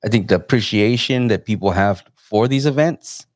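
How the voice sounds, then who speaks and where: A low-voiced man in his forties hosts a live interview and talks in an easygoing, rambling way. He comes from San Jose, California. His voice is dry and close, with no room sound. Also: moderate pace, darkish tone, no echo. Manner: laid-back, meandering. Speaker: host.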